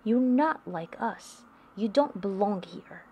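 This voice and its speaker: Scornful, bossy barnyard creature for a picture book , read by a woman, slow and scolding, faint noise. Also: medium pitch, lively intonation, dull audio. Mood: scornful, rejecting. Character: scornful farm animals shooing away an outcast duckling.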